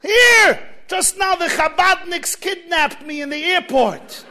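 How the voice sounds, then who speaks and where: A man playing a brash airport greeter who blusters and boasts. He is loud and excitable, with a high, bright and pushy sound. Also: slow pace, full character voice. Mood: excited. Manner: blustering and excitable, swings from grumbling to shouting. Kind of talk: storytelling.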